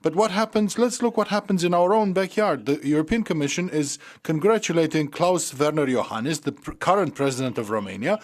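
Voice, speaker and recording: A man gives a political speech at a measured pace, pausing on the odd filler. His voice sits a little high.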